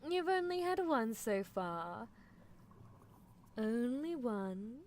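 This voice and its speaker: A woman's voice: fairly high pitch, very animated, slow, a little mellow. A woman voicing an obsessive mad scientist who coaxes and condescends to a captive love interest in an ASMR roleplay, with menacing affection. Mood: clinical, possessive.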